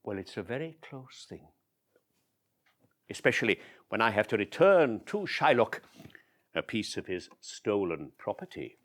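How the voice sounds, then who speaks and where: A man's voice: lowish voice, sing-song, unhurried, long pauses, a bit bright. A man playing a chatty, confiding friend who tells his story straight to the audience, with wry asides, in a one-man stage monologue.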